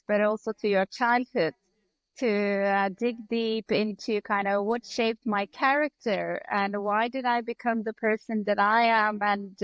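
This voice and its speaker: A woman from Iran with a fairly high voice talks as a podcast guest. She explains herself in a thoughtful, reflective way. The sound is dry, with very little room. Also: no room sound, poor audio. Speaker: podcast guest, leadership consultant. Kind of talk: reflective interview talk.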